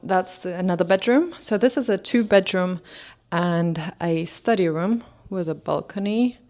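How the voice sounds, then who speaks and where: A woman's voice: on the low side, normal speed, a little mellow. A woman hosting a casual vlog, commenting and asking questions in an enthusiastic, admiring way. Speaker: host and interviewer.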